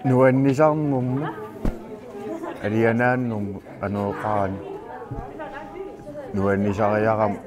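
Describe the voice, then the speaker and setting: A man's voice: fairly low pitch, flat delivery, slow, pauses often, crowd noise. A man speaking cheerfully and briefly about a race. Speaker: race participant. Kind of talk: casual interview. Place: outdoors at a race event.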